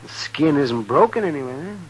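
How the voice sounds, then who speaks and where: An actor playing a matter-of-fact frontier town doctor in a radio western, American, dry and unhurried as he questions and answers. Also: on the high side, big pitch swings, low-quality recording. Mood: dry, probing. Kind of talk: 1950s radio western acting. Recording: old radio recording, faint hum and hiss.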